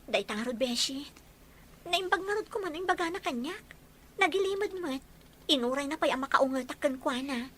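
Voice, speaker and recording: A woman acting a young fiancée in an Ilocano audio drama, probing yet caring. Her voice is high and bright with a Filipino accent, over faint noise. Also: animated, moderate pace, long pauses. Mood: concerned. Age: young adult.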